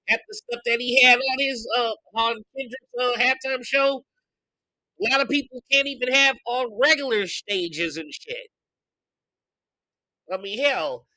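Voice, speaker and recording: A man hosting a podcast, congested from a recent illness, venting his opinions in a loose, profane, animated way. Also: a little high, no echo, low-quality recording. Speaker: podcast host. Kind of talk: casual opinion commentary.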